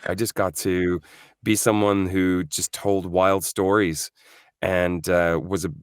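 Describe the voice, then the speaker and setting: A man's voice: sits low, little pitch movement, slow pace, clear, resonant tone, dry recording, studio quality. A man from Norway, a voice actor guest, chatting in an interview, thoughtful and full of fillers and restarts. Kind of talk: casual interview chat.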